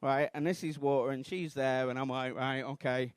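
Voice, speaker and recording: A Mancunian man in his thirties doing a rambling, self-deprecating stand-up routine on a comedy club stage, bursting into shouted outrage. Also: mid-range voice, fairly even intonation, moderate pace, clear, resonant tone, no echo, clean studio sound. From Manchester, England.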